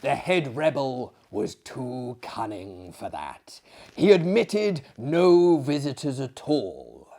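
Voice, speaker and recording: A man voicing a sly, boastful older gentleman in a dramatic literary story, with a theatrical, relishing, deliberate delivery. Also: mid-range voice. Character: sly, boastful older Frenchman running an asylum.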